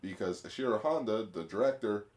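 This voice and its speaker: A man with a lowish voice co-hosts a podcast. He chats casually and rambles, with loose fillers between his points. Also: animated, fairly quick, darkish tone. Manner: chatty, rambling, uses fillers. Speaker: podcast co-host. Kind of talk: casual chat about films.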